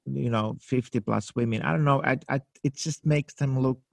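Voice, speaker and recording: A man's voice: mid-range voice, moderate pace, creaky, no room sound. A man in his fifties from Finland with a Finnish accent, speaking English as a second language in a casual interview, searching for words with many fillers and restarts. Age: 50s. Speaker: makeup artist guest.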